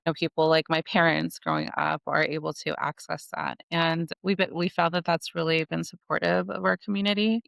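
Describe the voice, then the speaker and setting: Gravelly, smooth-voiced woman attorney. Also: sits low, a bit bright, no room sound. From Los Angeles, United States. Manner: earnest, practical, with frequent "you know" and "like". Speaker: immigration attorney, guest. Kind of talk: casual podcast interview.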